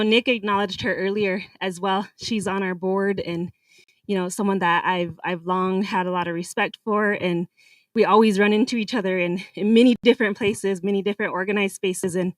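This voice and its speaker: A woman's voice: mid-range voice, dry recording. A woman giving a hesitant, informal introduction, full of fillers. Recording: distant dry pickup.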